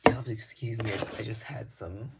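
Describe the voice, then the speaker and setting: A man showing records from his collection in casual talk, laid-back and rambling, his voice slightly raspy, with frequent ums and restarts. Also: lowish voice, steady tone, a little fast, very noisy.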